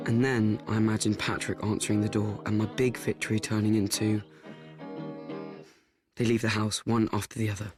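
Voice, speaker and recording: A man playing an awkward, self-absorbed teenage boy in a radio play, speaking in a halting, confessional way. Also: fairly low pitch, level tone, husky, soft background music. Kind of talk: first-person radio play monologue and dialogue.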